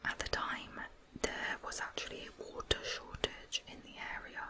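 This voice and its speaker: Whispering woman telling true crime. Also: moderate pace. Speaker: ASMR creator narrating true crime. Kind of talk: whispered ASMR storytelling.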